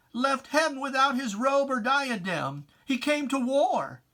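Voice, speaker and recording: Slow, dramatic, solemn poetry recitation. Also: medium pitch, pushed and bright. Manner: solemn, theatrical, declamatory, with weighty pauses. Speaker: reader of poetry. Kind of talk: dramatic recitation of religious rhyming poem.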